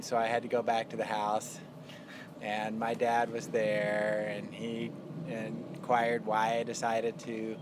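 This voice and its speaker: A man in his fifties from Texas, telling childhood memories in a relaxed family interview, halting with fillers. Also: fairly low pitch, background noise. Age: 50s. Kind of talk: casual family interview storytelling. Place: backyard outdoors.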